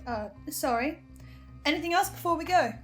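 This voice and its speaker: A woman plays an anxious, sardonic broadcaster in an audio drama. Her voice sits high, and she speaks haltingly and expressively, breaking into her own sentences. Faint music plays underneath. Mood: resigned worry. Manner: halting, expressive, self-interrupting. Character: Edwin, anxious, sardonic researcher and broadcaster bound to fearsome powers. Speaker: podcast-style host reviewing a horror series. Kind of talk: naturalistic scripted audio drama.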